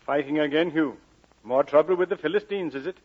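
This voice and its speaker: A man acting a stern, proud miner father with gruff affection in an old radio drama. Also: on the high side, brisk, noisy background, lightly acted. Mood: concerned, wry. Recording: dull old 1940s radio recording.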